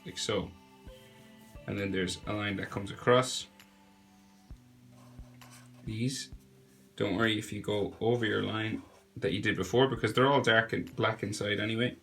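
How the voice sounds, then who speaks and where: A man's voice: lowish voice, measured pace, pauses often. A young man with a cold, sounding nasal, chatting casually as he talks through a drawing step by step. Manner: relaxed, chatty step-by-step instruction. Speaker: drawing tutor. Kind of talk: narrated drawing tutorial. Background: faint music.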